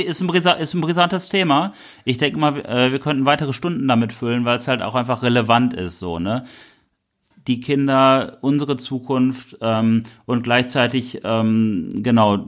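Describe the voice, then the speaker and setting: A man co-hosting a podcast, talking casually and rambling with enthusiasm. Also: mid-range voice. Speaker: podcast co-host.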